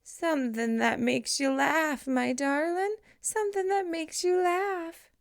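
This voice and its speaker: A woman plays a merry elderly storybook lady, sweet and affectionate. Her voice is pitched up high and lilts gently. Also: steady tone, takes it slowly, vocal fry, no room sound, clean studio sound, heavily acted.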